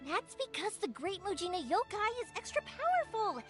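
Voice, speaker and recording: Anime game heroine: young fireworks maker, high lively voice, cheerful and encouraging, faint music behind. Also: female voice, moderate pace. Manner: animated, coaxing, as if talking to children. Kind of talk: anime video game voice acting.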